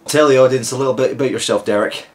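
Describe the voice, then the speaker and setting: A man's voice: medium pitch, quick pace. A man hosting his own channel, introducing a video in a rambling, joking way with many restarts. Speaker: channel host.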